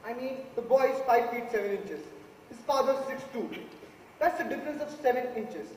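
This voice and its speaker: A young Indian man on an amateur stage, playing an earnest, quiet juror who grew up in a rough neighborhood. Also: very high-pitched, expressive, brisk. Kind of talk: amateur stage drama acting. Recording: echoey theatre hall recorded from far off, hiss.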